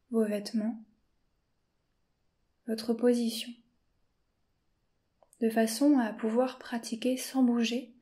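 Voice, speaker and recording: A woman teacher guiding a yoga nidra session in a slow, soft-spoken, calm way, often dropping to a whisper. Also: fairly high pitch. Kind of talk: guided meditation.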